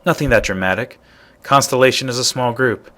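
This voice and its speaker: A man's voice: medium pitch, a little fast, pushed and bright, close to an everyday voice. A man voicing a wry, matter-of-fact space adventurer in a video game. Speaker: game voice actor.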